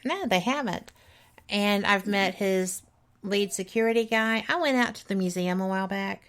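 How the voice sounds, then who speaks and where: A woman's voice: medium pitch, moderate pace, a bit bright. A middle-aged woman with a Southern accent, hosting a casual radio talk show and chatting with enthusiasm. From Alabama. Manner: chatty, enthusiastic, laughs easily.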